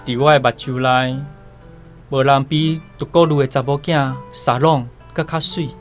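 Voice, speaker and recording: A medium-pitched male voice with a Taiwanese accent plays a devoted young islander acted by a man. He declares his love earnestly in radio-drama style, with music beneath. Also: fairly even intonation, normal speed, close to an everyday voice. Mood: adoring.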